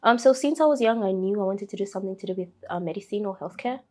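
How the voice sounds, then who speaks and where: A young woman from Kenya with a Kenyan accent, telling her story in a casual interview, speaking softly with many fillers and restarts. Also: medium pitch, fast talker. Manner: soft-spoken, frequent fillers and restarts. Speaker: interview guest.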